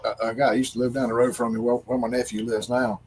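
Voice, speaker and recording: A man's voice: medium pitch, level tone, quick pace, darkish tone. Folksy Southern US man, some background noise. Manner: folksy, rambling, joking. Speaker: livestream co-host. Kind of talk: casual chat and storytelling.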